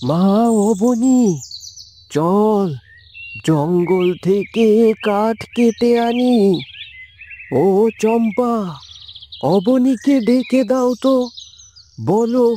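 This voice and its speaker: A man's voice: extremely high pitch, drawn-out words, pauses often, mellow, a little hiss or hum, full character voice. A man voicing a doting, grieving middle-aged woodcutter father in a cartoon fairytale, tender and plaintive. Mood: grieving, longing.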